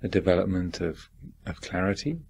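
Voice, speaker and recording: A man teaching guided meditation, speaking in a deliberate, reflective manner with gentle questions and long pauses. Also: low-pitched, animated, slightly rough.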